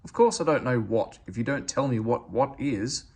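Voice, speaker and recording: A man's voice: mid-range voice, normal speed, a little mellow, husky. A man voicing a cross, haughty big steam engine in a storybook, grumbling scornfully. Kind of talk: storybook character voice.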